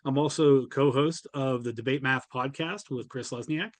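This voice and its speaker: A man's voice: medium pitch, moderate pace, dry recording. A man hosting an online webinar, informally introducing guests and putting questions to them. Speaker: webinar host, podcast co-host.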